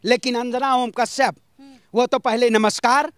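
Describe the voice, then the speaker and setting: Guy from north india ranting politics, really high voice, emphatic and speechy, pretty dry sound. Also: steady tone, moderate pace, a bit bright, smooth, no echo. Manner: emphatic, rhetorical, with rhyming slogans and repetition. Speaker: interviewee giving political opinions. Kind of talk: impassioned political street speech.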